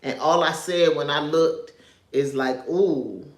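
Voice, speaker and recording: A woman's voice: low voice, slow pace. Chatty woman streaming from an echoey room. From New York, raised in North Carolina. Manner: chatty, drifts off topic, chuckles often. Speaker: social media commentator. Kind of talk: casual livestream commentary.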